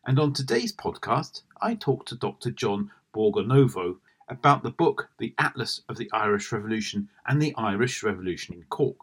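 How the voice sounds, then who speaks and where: Slightly husky male podcast host. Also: mid-range voice. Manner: polite, measured questioning. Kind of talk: scripted intro and interview questions.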